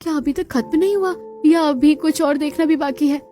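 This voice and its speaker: Young village bride played by a woman for story cartoon: high voice, naive, exclamatory, music underneath. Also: normal speed, warm tone, natural voice. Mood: puzzled. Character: wide-eyed young village bride in a billionaire household. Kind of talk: Hindi moral-story cartoon dialogue.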